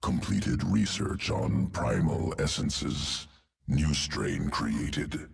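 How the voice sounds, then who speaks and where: Cold alien creature, game villain style, slow, heavily gravelled, detached, telegraphic phrasing. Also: male voice, very deep voice, big pitch swings, exaggerated voice. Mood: cold, analytical. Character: cold, clinical alien swarm creature, geneticist adviser. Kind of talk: video game character dialogue.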